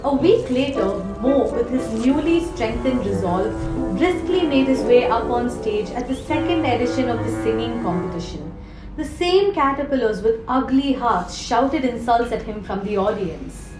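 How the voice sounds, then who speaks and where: A woman storyteller with a high voice narrates a scripted children's tale. Loud music runs behind her, and the room adds a clear echo. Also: lively intonation, moderate pace. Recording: echoey room with prominent music. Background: prominent music.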